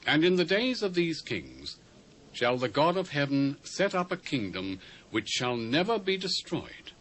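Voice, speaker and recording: A man's voice: on the high side, huge pitch swings, measured pace, a bit bright, exaggerated voice. An actor with a British accent playing a solemn prophet who declaims grave, archaic pronouncements to a king in a dramatised scripture reading. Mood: grave, declaiming. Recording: noisy old cassette dub.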